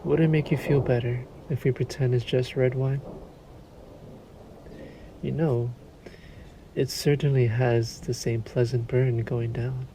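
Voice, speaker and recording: A man playing a sadistic, courtly vampire lord in a roleplay audio drama, suave and menacing, with formal, drawn-out politeness. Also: medium pitch, flat delivery, dark tone, slight background noise, natural voice, rough recording. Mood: silky menace. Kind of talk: scripted roleplay audio drama, addressed to listener.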